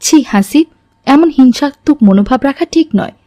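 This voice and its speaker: A woman's voice: sits high, lively intonation, moderate pace, mellow, no echo, studio quality, soft background music. A pious middle-aged mother from a moral-tale cartoon, acted by a woman. She is strict but caring, and delivers her advice like a lesson, preachy and admonishing. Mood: gentle, instructive. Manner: preachy, instructive.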